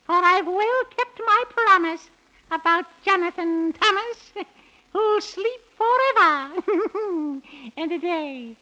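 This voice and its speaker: A woman's voice: very high voice, lively intonation, slow, bright, forceful tone, heavily acted. A woman playing a gleeful, wicked old witch who cackles and rhymes in a 1930s children's radio serial. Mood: gloating, gleeful. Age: older. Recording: muffled 1930s radio recording.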